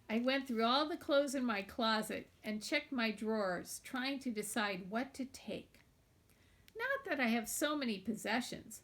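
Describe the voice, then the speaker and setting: A woman reads a children's novel aloud, narrating expressively in the first person as a young girl. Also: high voice.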